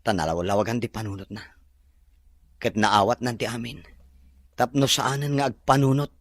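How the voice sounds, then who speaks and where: A man playing a devoted groom in an audio drama, calm and reassuring. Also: mid-range voice, normal speed, no echo, lightly acted.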